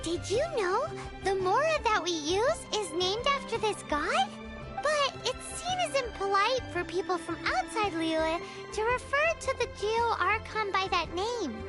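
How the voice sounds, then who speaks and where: Very high, squeaky childlike fairy companion. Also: female voice, expressive, unhurried, loud music behind the voice. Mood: eager, explaining. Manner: eager, chatty, childlike, refers to self by name. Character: Paimon, tiny chirpy childlike female fairy companion. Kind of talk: animated video game dialogue.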